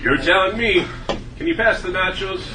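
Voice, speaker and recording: A man acting a hapless, blustery sitcom father with a soft side in a table read. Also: high-pitched, moderate pace, natural voice. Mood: relaxed, conspiratorial. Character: hapless, blustery sitcom dad, softening toward son. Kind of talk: comedy script table read. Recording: echoey room, distant mic, noisy.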